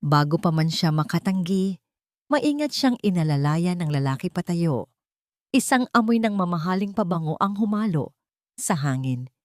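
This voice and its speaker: A woman's voice: lowish voice, expressive, normal speed, dry recording, clean studio sound. A woman with a Filipino accent reading a scripted story as a dramatic narrator.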